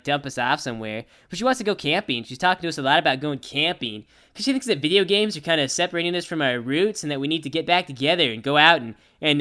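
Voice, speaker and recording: A woman's voice: deep voice, a bit bright. A woman voicing a brash, easygoing young cartoon pegasus pony who tells a story in a casual, rambling slacker way. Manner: casual slacker rambling, full of "like" and "you know". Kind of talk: improvised-sounding comic cartoon storytelling.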